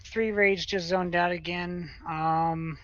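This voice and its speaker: A man's voice: sits high, steady tone, slow, fairly bright tone, lo-fi sound. A blunt, exasperated man complaining in casual gaming chat. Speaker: raid member.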